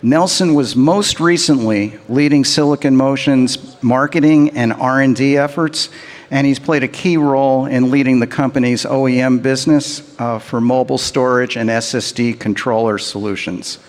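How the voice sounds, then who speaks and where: A man at an average pitch reads a formal speaker introduction at a steady pace, with a few small fillers. His voice carries the long reverb of a large hall. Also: normal speed. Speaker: conference emcee.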